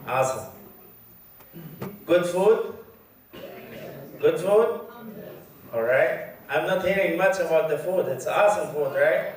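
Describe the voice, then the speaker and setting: A man from Egypt with an Egyptian Arabic accent, giving a lecture at a community event, chatty with the crowd and taking care to speak slowly. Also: a little high, lively intonation. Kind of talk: mosque lecture to an audience. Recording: very echoey hall, voice heard from far.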